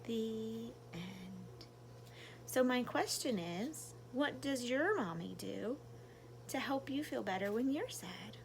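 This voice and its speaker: Casting: female storytime reader, high expressive voice, slow delivery for little kids, some background noise. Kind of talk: animated read-aloud for young children.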